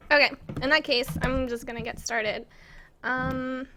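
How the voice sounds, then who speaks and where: High-pitched, bright American woman streamer. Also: slow, a little hiss or hum. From United States. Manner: self-conscious, rambling, giggly. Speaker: live streamer and game map maker. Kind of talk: casual live stream chat to viewers. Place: indoors at a computer in an apartment.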